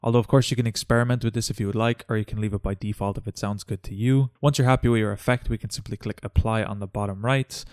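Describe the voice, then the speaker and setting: A man narrating a step-by-step software tutorial as its host. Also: lowish voice, fairly even intonation, quick pace, few pauses, no echo, clean studio sound.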